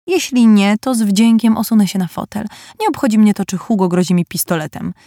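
A woman voicing a vain, dramatic youngest girl who talks in a high, insistent, affected way. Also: brisk, dark tone, dry recording, clean studio sound, close to an everyday voice. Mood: indignant.

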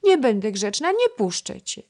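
A woman's voice: fairly high pitch, sing-song, normal speed, darkish tone, pitched above the performer's own voice. A woman doing a whiny stubborn little girl. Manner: whiny, pouting. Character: stubborn little peasant girl, small child.

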